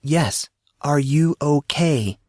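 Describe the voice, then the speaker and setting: A man voicing a gentle, puzzled teenage schoolgirl with soft, questioning concern in an audiobook. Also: on the high side, expressive, very slow, no room sound, voice pushed up, heavily acted.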